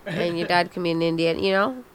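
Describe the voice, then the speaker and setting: A middle-aged woman co-hosting a talk show in casual, unscripted banter, brash and quick to swear. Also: fairly low pitch. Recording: noisy background.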